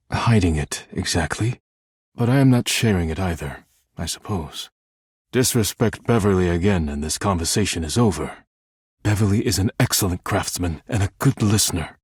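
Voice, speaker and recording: An actor voicing a proud, earnest, reserved poetic dish character in a video game, speaking in formal, measured, grave phrases with a husky voice. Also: male voice, low voice, darkish tone, no echo, clean studio sound, full character voice. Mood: stern, offended. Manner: formal, measured, grave, carefully worded diction.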